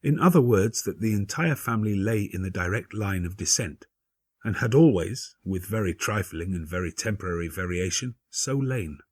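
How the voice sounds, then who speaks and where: Male narrator for dramatic gothic audiobook. Husky, lowish voice, formal and grave, theatrical phrasing. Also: animated, moderate pace, no room sound, clean studio sound.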